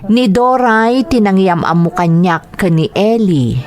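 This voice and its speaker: A woman's voice: medium pitch, animated, a little slow, darkish tone. A woman with an Ilocano accent reading a scripted first-person story as narrator of an audio drama.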